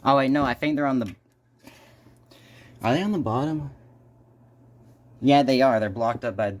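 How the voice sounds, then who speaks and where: A man hosting a casual, unscripted unboxing video, speaking haltingly and muttering to himself as he goes. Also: mid-range voice. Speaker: video host doing an unboxing.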